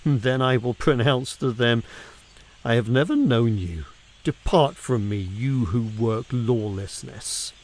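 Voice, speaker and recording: A man reads scripture aloud in a measured, deliberate way, using pauses and emphasis for dramatic effect. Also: mid-range voice. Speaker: reader of scripture.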